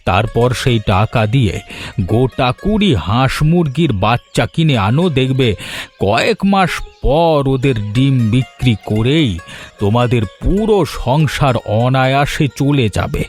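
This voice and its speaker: A man voicing a kindly old village grandfather in an animated family drama, giving practical advice. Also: mid-range voice, a little slow, soft background music, lightly acted. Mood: kindly advising.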